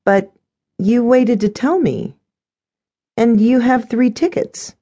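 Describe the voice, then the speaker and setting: A woman's voice: fairly high pitch, expressive, slow pace, mellow, husky, voice pushed up, heavily acted. A woman narrator voicing a put-upon, dreamy stepdaughter in her twenties in an audiobook, earnest and girlish. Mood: hurt disbelief.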